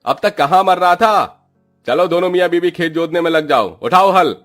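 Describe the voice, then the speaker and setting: A man voicing a rude, lazy rich village landlord in a cartoon, gruff and haughty as he scolds. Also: mid-range voice, lively intonation, a little slow, fairly bright tone, soft background music. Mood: angry, bullying. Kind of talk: Hindi moral-story cartoon voice acting.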